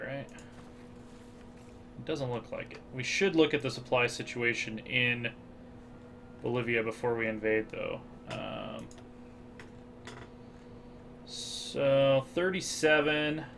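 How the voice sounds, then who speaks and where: A man's voice: mid-range voice, slow pace, long pauses, slight background noise. A man with an American accent hosting a strategy game playthrough, talking it through casually with many fillers. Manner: thinks aloud with fillers. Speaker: gaming channel host.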